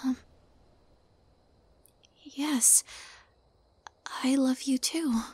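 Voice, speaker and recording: A woman playing a shy, tender young witch girlfriend in an intimate roleplay, speaking softly and haltingly in a breathy voice that often drops to whispers. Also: high voice, fairly even intonation, no room sound, heavily acted. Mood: tearful, apologetic. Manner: hesitant, halting, soft-spoken, often dropping to whispers.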